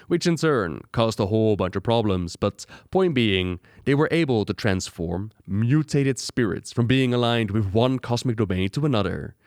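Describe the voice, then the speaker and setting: A man's voice: fairly low pitch, no echo. A man hosting an explainer video, delivering scripted narration into a close mic. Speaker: video host.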